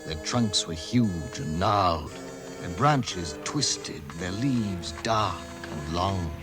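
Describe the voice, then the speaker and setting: An actor as a solemn storyteller, giving scripted narration in a vintage radio drama. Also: male voice, low-pitched, lively intonation, slow pace, over music.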